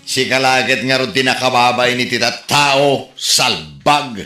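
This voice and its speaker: Man acting bright, grumbling village husband. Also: medium pitch, measured pace. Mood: scolding. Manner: scolding, grumbling. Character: scolding, embarrassed village husband. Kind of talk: Ilocano comedy drama acting. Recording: echoey room with music behind.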